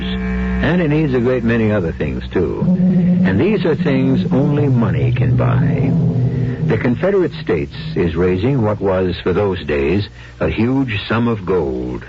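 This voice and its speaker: A low-voiced man narrates a radio drama as its host. His phrasing is deliberate and theatrical, with lively swings in pitch, and loud music plays behind him. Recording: muffled vintage radio broadcast.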